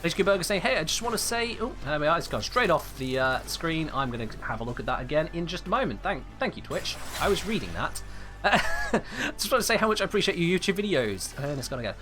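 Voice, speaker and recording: A man's voice: fairly high pitch, sing-song, fast talker, over music. A man in his twenties with an English accent, chatting casually while gaming live and reading out viewer messages with playful mock indignation. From England. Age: 20s. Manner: playful, mock-indignant, reads chat aloud. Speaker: game streamer.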